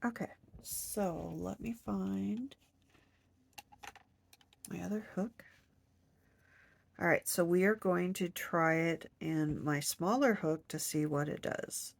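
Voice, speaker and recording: Woman crochet vlogger, stuffy nose, husky gravelly low voice, unhurried chat to herself. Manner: talks to herself while crocheting, counting stitches under her breath. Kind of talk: casual hands-on crafting demo.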